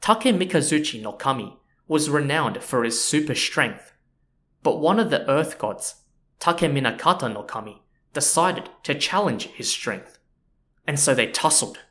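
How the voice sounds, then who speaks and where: A man hosting a history podcast, narrating in a relaxed, chatty way with frequent asides to the listener. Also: on the high side, normal speed. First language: not Japanese. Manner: relaxed and chatty, with frequent "you know" and "so yeah". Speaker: podcast host.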